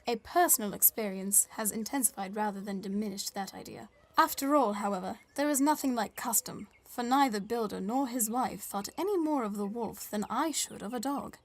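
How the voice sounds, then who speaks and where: A woman's voice: on the high side, moderate pace, hardly any pauses. A woman playing a polite, shrewd Victorian newspaper reporter, formal and wry, in a scripted audio drama. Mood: wry, narrating. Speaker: narrator and interviewer. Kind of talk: scripted first-person narration and dialogue.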